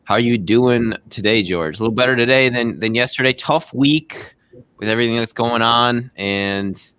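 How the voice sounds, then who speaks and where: A man's voice: mid-range voice, normal speed, a bit bright, clear, resonant tone, no room sound. A man hosting a show, opening casually and chatting with his guest, correcting himself as he goes. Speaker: show host.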